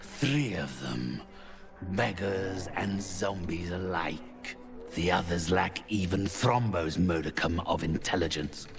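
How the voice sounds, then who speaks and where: An actor playing a haughty, cold, mercenary necromancer in a fantasy game, speaking slowly with theatrical condescension. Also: male voice, low-pitched, lively intonation, music in the background, full character voice. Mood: disdainful, menacing. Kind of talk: cinematic fantasy game dialogue.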